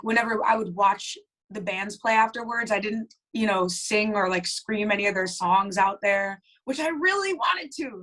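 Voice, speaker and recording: A woman's voice: medium pitch, bright, forceful tone, rough recording. A young woman, a band's lead singer, chatting casually in an interview with lots of fillers. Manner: chatty, many "like" fillers, laughs easily.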